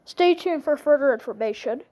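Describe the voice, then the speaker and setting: A woman with a high, soft-toned voice makes mock emergency broadcast announcements. She sounds like an amateur improvising official wording, halting and restarting phrases as she goes. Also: expressive, low-quality recording. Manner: halting, repeats phrases and restarts sentences often.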